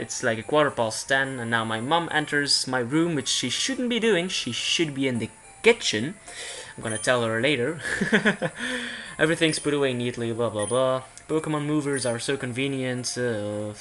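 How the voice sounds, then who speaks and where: A young man from Belgium with a bright, forward voice talks casually over a video game. He rambles and breaks off to restart his sentences. Faint music plays behind him. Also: mid-range voice, animated, normal speed. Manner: rambling, self-interrupting. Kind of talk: casual let's play commentary.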